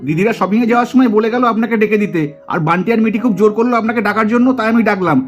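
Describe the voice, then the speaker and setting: Man playing a boastful young cartoon uncle, sarcastic, grumbling, teasing, rapid delivery, faint background music. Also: sits high, natural voice. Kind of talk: exaggerated Bengali puppet cartoon acting.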